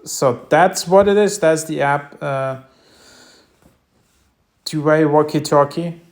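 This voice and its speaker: A man's voice: fairly high pitch, takes it slowly, darkish tone. A man presenting a casual, unscripted app tutorial, explaining things in a hesitant, loosely phrased way.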